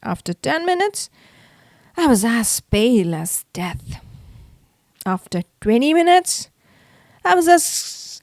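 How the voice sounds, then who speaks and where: A woman reading a children's story aloud in an expressive, theatrical way. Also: fairly high pitch, no room sound, clean studio sound. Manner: theatrical, acts out the exclamations. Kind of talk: expressive read-aloud narration for kids.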